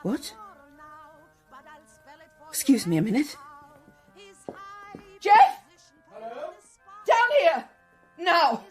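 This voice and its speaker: An actress playing a bold, flirtatious British wife who is quick to anger, with a husky, theatrical delivery in a radio drama. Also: female voice, sits high, huge pitch swings, faint music behind, heavily acted. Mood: angry.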